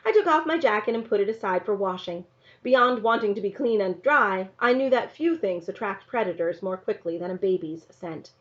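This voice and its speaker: A woman reading a children's novel aloud in an expressive narrating style. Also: mid-range voice, moderate pace. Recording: slightly dull, lo-fi sound.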